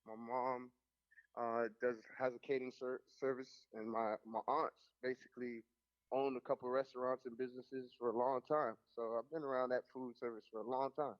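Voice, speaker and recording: Young Caribbean producer guest, easygoing rambling talker, medium-pitched voice, muffled lo-fi call line. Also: male voice, moderate pace. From Caribbean, Jamaican and Haitian. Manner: relaxed, rambling, many fillers and restarts. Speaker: music producer, interview guest. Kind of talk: casual radio interview. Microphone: remote line, could not hear host.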